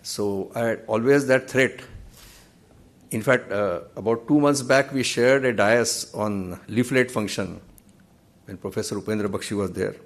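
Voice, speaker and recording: A man in his sixties with an Indian English accent, speaking at a podium microphone on the stage of a large auditorium. He delivers a formal memorial lecture in a measured, earnest way, with dry humor in his anecdotes. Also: lowish voice. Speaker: judge giving a memorial lecture.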